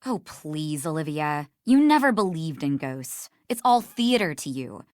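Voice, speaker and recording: A woman's voice: low voice, big pitch swings, measured pace, a little mellow, no echo, studio quality. A woman playing a prim, serious young woman in a video game, speaking with pointed, exasperated disapproval. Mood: disdainful.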